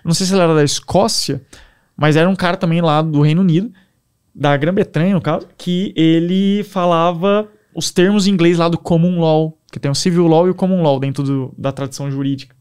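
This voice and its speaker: A man's voice: fairly high pitch, a little fast, smooth. Enthusiastic young Brazilian man. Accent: Brazilian Portuguese. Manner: animated, enthusiastic storyteller. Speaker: podcast guest. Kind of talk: casual storytelling.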